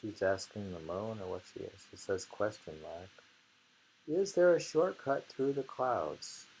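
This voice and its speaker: A man chatting casually and reading short poems aloud, musing and pausing between thoughts. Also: on the low side, animated, vocal fry, darkish tone, breathy edge, low-quality recording. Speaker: poetry reader and discusser. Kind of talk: casual chat with slow poem reading.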